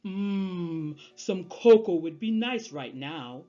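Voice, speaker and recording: A woman's voice: on the low side, lively intonation, slow pace, mellow, dull audio, faint music behind, pitched below the performer's own voice. A woman voicing a polite, well-meaning, misunderstood male wolf in a children's storybook. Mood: longing. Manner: courteous, wistful, exclaiming when baffled.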